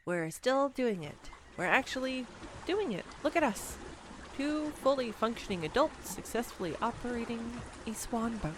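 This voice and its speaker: A woman acting a bubbly, animated, clumsy young date. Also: fairly high pitch, normal speed, background noise, lightly acted. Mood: fond, amused. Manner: bubbly, hesitant, playfully teasing, expressive. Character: clumsy, excitable, self-mocking young woman on a first date. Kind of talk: scripted girlfriend roleplay audio, intimate one-sided dialogue.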